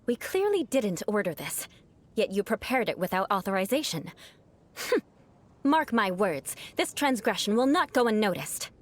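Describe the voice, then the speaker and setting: A woman's voice: medium pitch, very animated, moderate pace, slight background noise, close to an everyday voice. A woman voicing a proud, aloof young woman knight in a video game, formal and cool with dry teasing. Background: game music.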